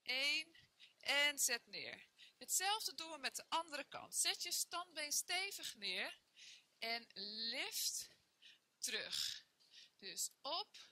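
High, bright-voiced fitness trainer woman. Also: big pitch swings, takes it slowly, pauses often. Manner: encouraging, counting reps while exercising. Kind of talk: guided workout instruction. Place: bare indoor studio room.

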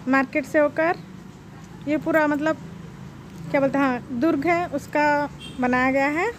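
A woman in her thirties narrating her train trip for her vlog viewers in a chatty, excited way, talking straight to them. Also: sits high, moderate pace, noisy background. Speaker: travel vlogger. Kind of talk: casual vlog voice-over.

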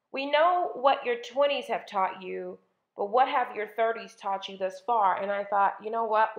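Thirties woman hosting a solo podcast; candid and chatty, recorded in a roomy space. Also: fairly high pitch. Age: 30s. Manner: chatty, candid, uses filler "like" and "um". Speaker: podcast host. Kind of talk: casual solo podcast monologue. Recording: roomy, dull lo-fi sound.

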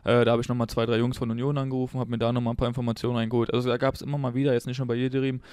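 A man's voice: medium pitch, flat delivery, rapid-fire, a little mellow, clear, resonant tone, dry recording. A man from Berlin chatting casually and informally as a guest in an interview. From Berlin, Germany. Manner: informal, colloquial German. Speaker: podcast guest, former footballer.

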